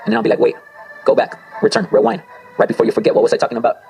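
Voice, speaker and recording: Gravelly guy freestyling spoken word, spanish is his first language, talks fast and bounces all over, faint music behind. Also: high-pitched, sing-song, mellow. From Spanish-speaking home. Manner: rambling, abstract, talks to himself. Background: faint circus music.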